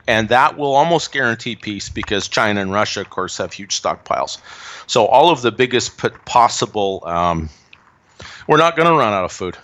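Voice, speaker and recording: A man's voice: lowish voice, fairly bright tone, a little hiss or hum. A man giving opinionated, emphatic commentary on politics and economics in a casual chat. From Canada. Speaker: guest commentator.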